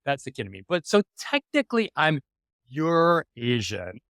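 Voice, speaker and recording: A man in his forties from New York City, hosting a podcast and telling a personal story in an animated way, restarting his sentences and jumping to sudden emphasis. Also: a little high, normal speed, no echo, studio quality. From New York City, USA. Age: 40s. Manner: animated, self-interrupting, with frequent restarts and sudden emphatic jumps. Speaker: podcast host. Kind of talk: personal reflective monologue.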